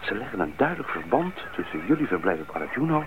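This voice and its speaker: A man playing a level-headed bush pilot in a radio drama, earnest and deliberate. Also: fairly low pitch, big pitch swings, narrow, tinny audio. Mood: thoughtful. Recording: noisy, thin old radio recording.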